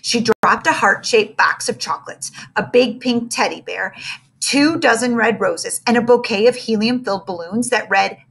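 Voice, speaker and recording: A woman narrating a children's storybook aloud in an expressive, dramatic way. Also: on the high side, normal speed, creaky, breathy edge, poor audio.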